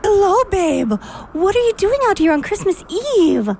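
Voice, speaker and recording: A woman's voice: extremely high pitch, very animated, normal speed, gravelly, a little mellow, a little hiss or hum, full character voice. A woman voicing a friendly, skittish, chatty deer in a family radio drama. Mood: chatty, helpful.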